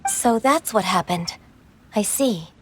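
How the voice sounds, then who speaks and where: A woman's voice: mid-range voice, expressive, slow pace, a little hiss or hum. An actress playing a composed, courteous young female secretary in a video game. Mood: earnest. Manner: formal, plain and courteous.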